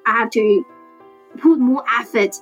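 A woman's voice: a little high, measured pace, a bit bright, lo-fi sound, soft background music. A woman from China, a Mandarin speaker, giving an earnest personal account as a parent in English. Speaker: interviewed parent.

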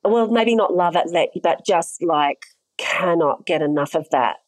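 A woman's voice: on the low side, measured pace. A middle-aged woman answering interview questions as an intimacy coordinator, explaining things thoughtfully with frequent fillers.

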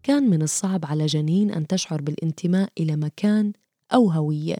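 A woman reading scripted documentary narration in a measured, formal way. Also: sits low, warm tone, dry recording, studio quality, soft background music.

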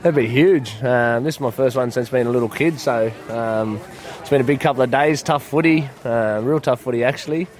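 Laid-back young Aussie footy player. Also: male voice, medium pitch, fairly quick, hardly any pauses. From New South Wales, Australia. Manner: relaxed, friendly, filler-heavy. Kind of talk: vox pop interview.

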